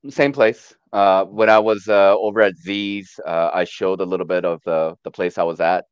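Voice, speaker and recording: A man's voice: deep voice, fairly even intonation, normal speed, clear, resonant tone, no room sound, muffled recording. A man in his thirties, born in Korea with Korean as his first language, chatting hesitantly as a guest with many fillers over a video call. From born in Korea, raised in the US. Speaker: panel guest. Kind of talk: casual livestream chat.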